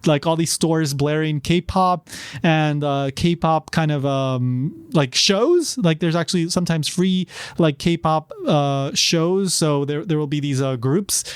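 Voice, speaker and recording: A man's voice: fairly high pitch, slow pace, smooth, no room sound. A man hosting a podcast, chatting casually on his own and rambling with lots of fillers and restarts. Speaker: podcast host. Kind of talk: casual solo podcast talk.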